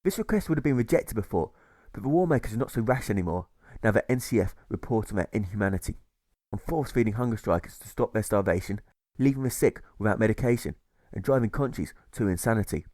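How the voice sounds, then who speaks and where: A man reading a rhyming novel as scripted audiobook narration, in a measured, rhythmic delivery. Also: on the low side, animated, darkish tone, dry recording. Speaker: author reading own audiobook.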